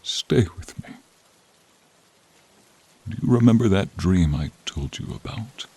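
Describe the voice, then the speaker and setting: A man voicing a noble, friendly silver dragon in a fantasy roleplay, deep and breathy, with solemn, old-fashioned courtly speech addressed to the listener. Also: expressive, mellow, heavily acted. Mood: desperate, pleading. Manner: slow, archaic, solemn, addressing listener directly. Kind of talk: scripted fantasy ASMR roleplay. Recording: rain sound effects behind the voice.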